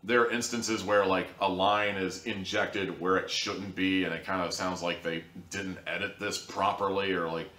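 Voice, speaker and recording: Deep-voiced guy rambling thru a blunt review, sounds far off the mic. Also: steady tone, moderate pace, hardly any pauses, sharp tone. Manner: candid, rambling, full of "like" and "you know". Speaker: audio drama reviewer. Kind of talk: casual spoken review. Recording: roomy echo, voice distant from mic.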